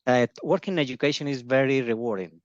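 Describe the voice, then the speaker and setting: A man's voice: medium pitch, fast, no room sound, lo-fi sound. Hesitant Colombian-born man, filler-heavy. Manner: hesitant, many fillers and restarts. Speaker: education agency founder, interview guest. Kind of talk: casual video-call interview.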